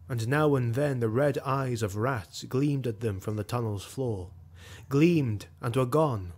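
An actor narrating a sword-and-sorcery audio drama as a solemn storyteller, measured and literary. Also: male voice, medium pitch, darkish tone, lightly acted.

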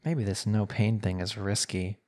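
A man performing an ASMR roleplay as a smug, coaxing young villain hypnotist, speaking in a soothing, deliberate way. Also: lowish voice, darkish tone. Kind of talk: ASMR roleplay acting, slow hypnotic monologue.

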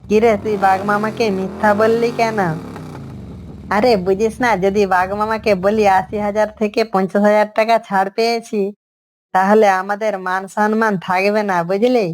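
A woman's voice: mid-range voice, level tone, normal speed, a little hiss or hum, lightly acted. A woman voicing a cocky, scheming fox in a cartoon story. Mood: guarded. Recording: music under the voice. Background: background music.

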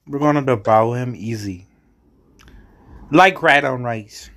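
A man's voice: medium pitch, slow pace, a bit bright. A man from the Bahamas with a Bahamian accent, giving casual commentary as he hosts a gaming video.

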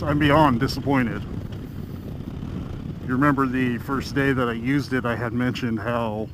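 A man riding a motorcycle and talking frankly into a helmet headset mic, grumbling and swearing casually. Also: medium pitch. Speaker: motorcycle vlogger. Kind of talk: casual vlog talk while riding. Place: on a motorcycle on city streets, outdoors. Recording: loud wind and engine noise. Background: motorcycle engine and traffic.

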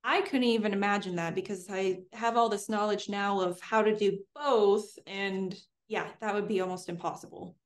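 A woman's voice: mid-range voice, fairly even intonation, normal speed, few pauses. A young woman answering interview questions in an upbeat, fluent, chatty way, recorded indoors. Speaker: guest, homesteader and streamer. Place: indoors in front of a green screen.

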